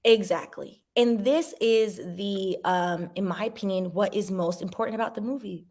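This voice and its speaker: Woman in her forties, casual vlog commentary, animated, jumps up in pitch when stressing a point, middle-pitched voice. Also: normal speed. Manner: talks to viewers directly, sips wine. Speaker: vlogger reading viewer comments.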